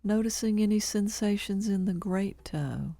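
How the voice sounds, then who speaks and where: A woman leading a guided body scan meditation, calm and measured, leaving long pauses between short phrases. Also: mid-range voice, no echo, studio quality.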